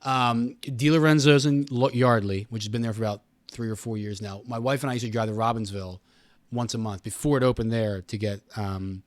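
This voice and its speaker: A man's voice: medium pitch, fairly even intonation, a little fast, no room sound. A man, middle-aged, chatting casually as an interview guest and repeating phrases for emphasis.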